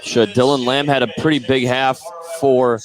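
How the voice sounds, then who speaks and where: A man's voice: mid-range voice, fairly even intonation, normal speed, a crowd in the background, rough recording. Male basketball play-by-play announcer. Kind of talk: live basketball radio commentary. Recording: live radio stream.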